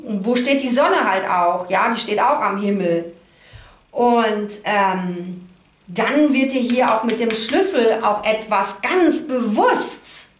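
A woman's voice: on the high side, lively intonation, takes it slowly. A middle-aged woman giving an informal card reading to viewers, conversational and reassuring, often checking in with little tag questions. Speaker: card reader, host. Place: indoor room, at a card table. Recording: echoey room sound.

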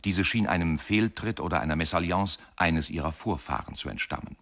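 An actor narrating a radio play as a wry, courteous older husband, measured and dryly ironic. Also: male voice, low-pitched, a bit bright. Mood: wry, reminiscing. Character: wry, courteous older husband reminiscing about his marriage. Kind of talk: radio play monologue, first-person narration.

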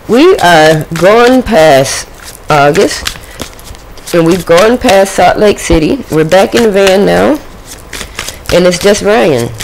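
A woman's voice: on the low side, a little mellow, a little hiss or hum, low-quality recording. A woman doing a casual tarot reading at a home table, chatting to the spirit and her viewers. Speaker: tarot reader.

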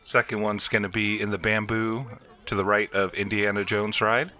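A man's voice: on the low side, flat delivery, moderate pace, noisy background. A man hosting a video game walkthrough, explaining each step in an instructional way. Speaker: gameplay video host.

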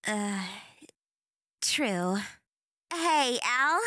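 A woman voicing a sweet, earnest young female demon in a cartoon, gentle and effusive. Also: on the high side, lively intonation, extremely slow, no echo, clean studio sound, heavily acted. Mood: hesitant, tactful.

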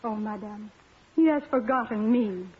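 An actress playing a humble young waitress in love, earnest and hesitant, in 1950s radio melodrama style. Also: female voice, mid-range voice, normal speed, a little hiss or hum, natural voice. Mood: distressed. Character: young waitress sweetheart, tender, humble, self-sacrificing. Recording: tinny old radio broadcast, music behind.

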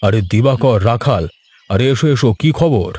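A man voicing a grave, fretful village gentleman in a scripted cartoon drama. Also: medium pitch, lively intonation, moderate pace, slightly rough. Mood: concerned. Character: worried village elder gentleman, addressed respectfully.

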